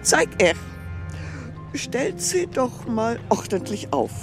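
A woman's voice: on the high side, wildly swooping pitch, slow, full character voice. An actress playing a haughty, impatient queen in a children's radio play, pompous and exclamatory, sprinkling in French words. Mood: imperious, inspecting. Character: haughty, impatient queen, easily disgusted, scornful. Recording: studio radio play with music.

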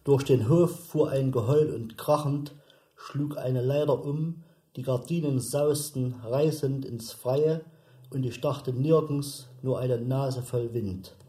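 Man, radio poetry recital, very unhurried, deliberate phrasing, soft dark timbre, echoing room. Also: medium pitch. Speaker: reciter of literary texts.

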